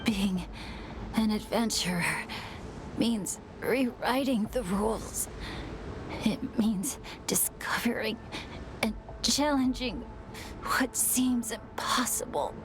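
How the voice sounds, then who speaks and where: A woman playing an earnest, resolute young adventurer in a video game, measured and determined. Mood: defiant. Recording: loud rumbling noise behind.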